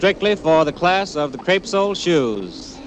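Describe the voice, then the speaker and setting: A man's voice: on the high side, very animated, unhurried, heavy background noise. An American man as a network radio announcer, giving a formal, polished, scripted big-band broadcast introduction. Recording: vintage broadcast over loud band music.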